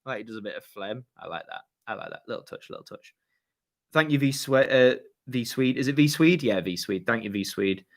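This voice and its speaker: A slightly hoarse man, a channel host casually reading out viewer questions and answering them in a chatty, laid-back way with plenty of fillers and slang. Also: medium pitch, big pitch swings, brisk. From Yorkshire, England.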